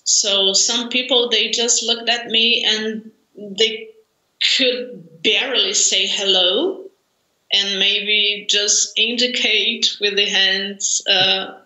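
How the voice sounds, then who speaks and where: Slow-speaking Brazilian woman on a video call. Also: mid-range voice, bright, forceful tone. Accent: Brazilian Portuguese. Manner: thoughtful, laughs often, many fillers. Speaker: interview guest, language learner. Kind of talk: conversational interview. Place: home room, indoors. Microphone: video call webcam. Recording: roomy video call audio.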